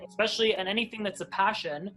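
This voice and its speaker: Man, Hebrew; bright, mid pitch; chatty guest who restarts often; faint music behind. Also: fairly even intonation, fast. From Israel. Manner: chatty, many restarts and ums. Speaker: airline captain, guest. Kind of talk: interview, personal storytelling.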